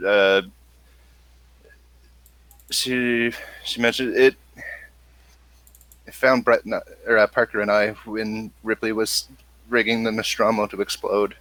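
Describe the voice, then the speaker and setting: Guy game mastering a tabletop rpg, slow halting delivery, bright edgy voice, bit of noise and clicks. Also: fairly low pitch, level tone, dry recording, low-quality recording. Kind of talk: narrating a tabletop role-playing game.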